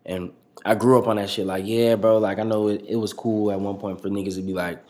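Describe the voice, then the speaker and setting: A man's voice: lowish voice, flat delivery, fairly quick, a little mellow. A young man in his twenties, a rapper guest talking casually and profanely in an interview, rambling with lots of fillers.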